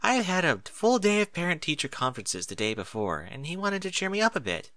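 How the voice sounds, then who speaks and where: Man doing a high, gentle schoolteacher mare. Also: wildly swooping pitch, fairly quick, no echo, full character voice. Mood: chuckling, gentle. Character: kindly schoolteacher mare, friendly and light-hearted.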